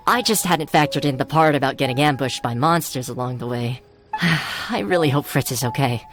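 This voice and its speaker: A man's voice: a little high, fairly bright tone. A man voicing a worried, earnest young delivery worker in a video game. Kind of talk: video game character acting. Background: background music.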